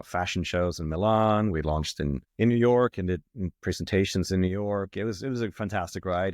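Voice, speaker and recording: A middle-aged man from Sweden with a Swedish accent, a marketing executive talking about his career in a relaxed, reflective way with many fillers and restarts. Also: low voice, dry recording, studio quality. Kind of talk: casual interview talk.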